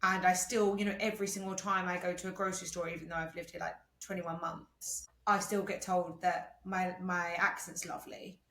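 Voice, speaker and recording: A young woman from England co-hosting a podcast, talking in a relaxed, reflective way with many fillers. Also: lowish voice, fairly quick, hardly any pauses, rough recording. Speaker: podcast co-host. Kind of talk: casual chat.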